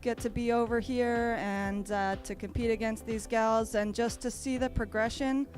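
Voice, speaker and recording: Relaxed woman athlete, loud music behind. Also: on the high side, normal speed. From Australia. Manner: relaxed, rambling, many fillers. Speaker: competing bodysurfer. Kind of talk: casual interview. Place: outdoor beach event.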